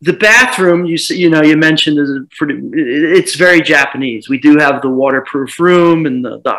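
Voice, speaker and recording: A man in his thirties talks over a laptop webcam from a dim living room, his voice bright and a touch high. He rambles and restarts often, with animated bursts, in a relaxed video call chat. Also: normal speed, rough recording. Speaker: home seller.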